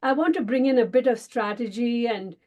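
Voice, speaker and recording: A woman's voice: on the high side, brisk, muffled recording. A woman giving fluent, gracious academic panel remarks over a video call.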